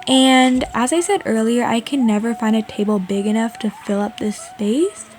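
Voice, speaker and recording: A woman's voice: on the high side, fairly even intonation, normal speed, darkish tone, a little hiss or hum. A woman from Canada giving a casual, chatty game voice-over in an informal, indecisive way. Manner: informal, indecisive, frequent "like" and "honestly". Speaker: video creator narrating a game build. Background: background music.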